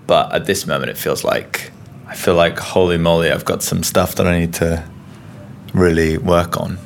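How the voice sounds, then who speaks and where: A young man who sings in an opera studio, speaking in an interview in a hesitant, halting way. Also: deep voice, fairly even intonation, vocal fry. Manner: hesitant, halting, many fillers. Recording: faint background noise.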